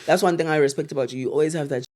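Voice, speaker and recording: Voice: medium pitch, rapid-fire, over a noisy crowd. A podcast host with a South African accent, chatting casually in an effusive, slangy way and repeating phrases for emphasis. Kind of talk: casual chat.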